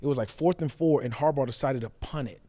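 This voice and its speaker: A man with a mellow, dark-edged voice talks sports at a quick clip. He is casual and slangy, circling back to repeat phrases as he makes his points as an analyst. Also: medium pitch. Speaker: former player turned sports show analyst. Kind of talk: casual sports show discussion.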